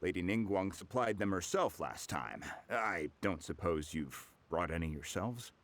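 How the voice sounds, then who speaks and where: An actor playing a humble, friendly blacksmith in a video game, with a folksy, hesitant way of talking. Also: male voice, deep voice, expressive, unhurried, fairly bright tone, slight background noise, heavily acted. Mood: helpful, musing. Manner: hesitant, folksy, chuckling, colloquial. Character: humble, friendly male blacksmith, a bit slow to catch on.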